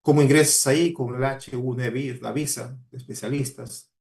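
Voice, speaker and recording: A man's voice: medium pitch, steady tone, moderate pace, creaky, darkish tone. A man with a Peruvian Spanish accent giving a motivational talk to students, earnest and exhorting, repeating key words for emphasis. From Peru. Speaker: guest speaker, mining professional.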